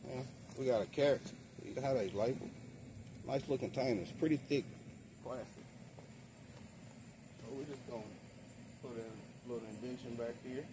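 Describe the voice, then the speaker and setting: A man's voice: moderate pace, pauses often. A middle-aged man talking casually and plainly as he shows gardening supplies, recorded outdoors in a backyard. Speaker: home gardener vlogger. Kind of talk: casual product demonstration. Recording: noisy outdoor ambience, traffic-like rumble.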